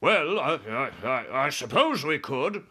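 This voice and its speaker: A man's voice: a little high, very animated, a little slow, bright, forceful tone, husky, pitched above the performer's own voice, heavily acted. A man voicing a proud, grumpy air force chief in a storybook, brusque and grudging. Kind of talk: storybook character voice.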